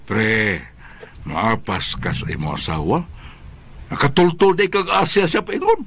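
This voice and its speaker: A man's voice: mid-range voice, wildly swooping pitch, unhurried, creaky, a bit bright, husky, exaggerated voice. A man playing a teasing, easily distracted buddy in a comic Bisaya radio drama, casual and full of exclamations. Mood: concerned, coaxing.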